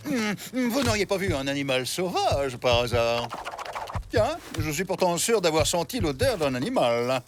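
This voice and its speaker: A man's voice: fairly high pitch, very animated, normal speed, very noisy. A man voicing a suspicious man who sniffs around for wild animals in a cartoon, over music. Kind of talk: French cartoon dubbing.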